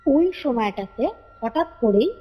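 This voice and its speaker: High-voiced woman telling stories, reverberant. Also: animated, unhurried, lo-fi sound. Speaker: caller telling a ghost story. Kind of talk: casual spoken storytelling of a family ghost story. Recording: reverberant call recording with music behind. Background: background music.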